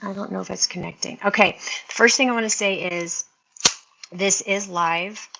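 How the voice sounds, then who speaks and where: Chatty sixty-year-old woman, bright voice. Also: medium pitch, fairly even intonation, moderate pace, gravelly, low-quality recording. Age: 60s. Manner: chatty, rambling, talks to viewers. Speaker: beauty livestream host. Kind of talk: casual live-stream chat. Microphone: earphone mic linked to phone.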